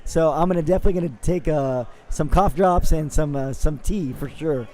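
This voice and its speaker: A raspy, hoarse man calls the action live with a high but mellow voice. He keeps up an upbeat, steady play-by-play, full of quick fillers and updates. His tone stays warm and dark despite the wear on his throat. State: hoarse from talking for days. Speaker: play-by-play commentator. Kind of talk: live sports commentary.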